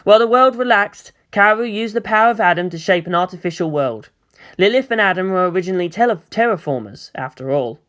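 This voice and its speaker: A woman's voice: medium pitch, expressive, quick pace. A woman narrating scripted fiction in a dramatic audiobook style.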